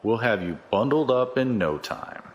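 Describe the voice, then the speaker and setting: An actor in a science fiction audio drama playing a cocky, folksy, corrupt security chief who talks in a chatty, colloquial way. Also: male voice, medium pitch, lively intonation, moderate pace, lo-fi sound, slight background noise, lightly acted. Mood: smug, threatening.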